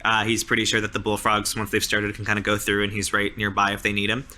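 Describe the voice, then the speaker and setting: A man improvises a scene as a player in a tabletop game. His voice sits on the low side but is very bright and forceful. He talks casually, piling up informal filler phrases as he builds the story. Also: monotone, fast talker. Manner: informal, peppered with "like" and "sort of".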